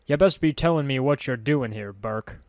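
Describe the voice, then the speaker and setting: A man voicing a blunt, suspicious male thug guarding a doorway, gruff and wary. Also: mid-range voice, moderate pace, no echo, studio quality, voice pushed up, natural voice.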